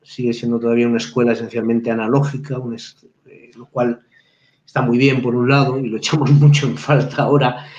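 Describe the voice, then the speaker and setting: A man speaks as a guest professor on a live video call. He thinks aloud, pausing on fillers and restarting phrases. The sound is roomy and a little dull, like webcam audio. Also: medium pitch, level tone, darkish tone. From Spain. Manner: reflective, halting, frequent restarts and fillers. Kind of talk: live webinar discussion.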